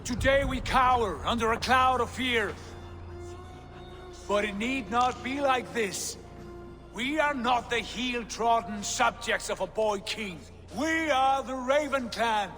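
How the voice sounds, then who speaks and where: Proud Viking prince, fairly high voice, solemn declamatory delivery, game cutscene with strong music behind. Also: male voice, takes it slowly, bright, forceful tone, full character voice. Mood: rousing, defiant. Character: proud, ambitious Viking prince rallying his clan. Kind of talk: dramatic game cutscene acting.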